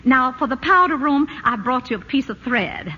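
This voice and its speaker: An actress playing a fussy, witty suburban wife and mother in a 1940s radio play, precise with her instructions and quick to laugh. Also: sits high, expressive, dull audio. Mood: particular. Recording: hissy old radio broadcast.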